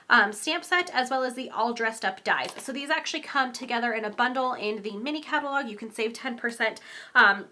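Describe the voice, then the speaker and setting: A woman's voice: fairly high pitch, bright, forceful tone, slight background noise. A young woman with a stuffy, congested voice, chatting through a casual card-making tutorial, rambling with frequent ums and small laughs. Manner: giggly, laughs often. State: congested from a cold.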